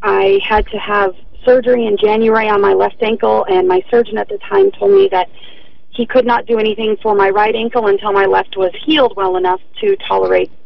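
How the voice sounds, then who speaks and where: Woman, radio caller, hoarse, gravelly, careful explaining, dull lo-fi sound. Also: mid-range voice, lively intonation, brisk, slight background noise. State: hoarse, partly lost voice. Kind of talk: phone-in caller.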